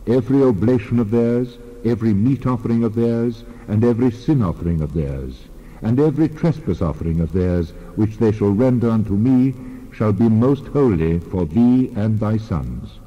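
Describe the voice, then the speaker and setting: An actor playing God as a solemn, commanding lawgiver in a dramatized scripture reading. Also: male voice, fairly low pitch, lively intonation, moderate pace. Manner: solemn, declarative. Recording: long reverb, dull band-limited sound.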